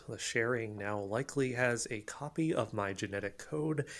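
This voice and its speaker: An actor in an audio drama playing an earnest, suspicious young male investigator with an intense, self-serious manner. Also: fairly low pitch. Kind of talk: audio drama, dictating covert voice notes.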